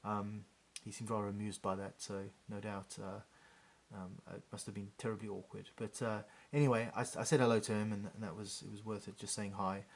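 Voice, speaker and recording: A man, somewhat low-pitched, talks through his hobby haul in a loose, rambling way. He restarts sentences often and scatters "um" and "uh" throughout. His voice picks up when he holds figures to the camera. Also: little pitch movement, brisk. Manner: rambling, many fillers and restarts; gets livelier when showing figures up close. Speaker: hobby channel host. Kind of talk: casual chat about miniature figures.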